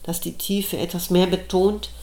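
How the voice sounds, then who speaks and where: A middle-aged woman reads her own reflective essay aloud, taking her time over every phrase. Her voice sits slightly low and her delivery stays measured and thoughtful. The recording carries a noticeable roomy echo. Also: little pitch movement, gravelly, mellow. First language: German. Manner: slow, measured, thoughtful reading.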